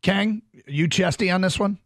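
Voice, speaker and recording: A man co-hosting a sports radio show, chatting casually and rattling off injury reports briskly. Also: sits high, fairly bright tone, dry recording, clean studio sound. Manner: brisk, reads lists quickly. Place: radio studio.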